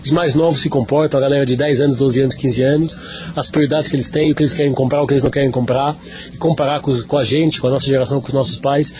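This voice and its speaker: A man's voice: medium pitch, fairly even intonation, fast talker, dull audio. A man from Portugal with a Lisbon accent, answering radio interview questions as a business executive, talkative and full of fillers and restarts.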